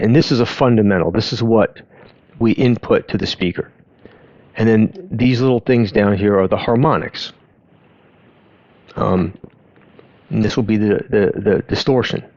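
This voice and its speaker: Low-voiced man explaining, dull dry recording. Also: creaky, a little mellow, no echo. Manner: halting, conversational, self-interrupting. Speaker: host of an audio education channel. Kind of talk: informal explainer talk over on-screen graphs.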